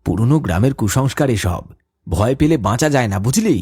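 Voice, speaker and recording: A man's voice: mid-range voice, expressive, fairly quick, mellow, breathy edge, dry recording, clean studio sound. A man voicing a skeptical young village fisherman in a cartoon, dismissive and mocking. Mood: scoffing. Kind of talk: cartoon voice acting.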